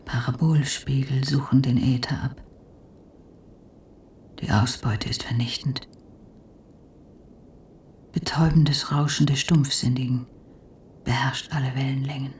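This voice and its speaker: An actress playing a troubled, entranced female patient in a radio play, murmuring dark visions in a breathy whisper. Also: extremely low pitch, fairly even intonation, slow, long pauses, recorded from a distance, slight background noise. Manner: breathy, whispered, incantatory delivery. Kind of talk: radio drama acting. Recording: roomy echo, off the mic.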